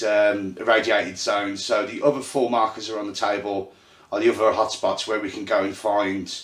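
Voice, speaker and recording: A man from Ireland with a Birmingham accent, casually hosting a tabletop game night and explaining the rules in a halting, self-deprecating way with plenty of fillers. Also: fairly low pitch, fairly bright tone. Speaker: host and game runner. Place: indoor room at a gaming table. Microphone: phone. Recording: echoey room on phone mic.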